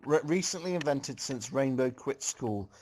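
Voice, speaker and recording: A man's voice: medium pitch, expressive, normal speed, a little mellow, low-quality recording. Man narrating a story aloud. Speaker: reader of a fan story. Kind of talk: storybook-style narration with casual comments.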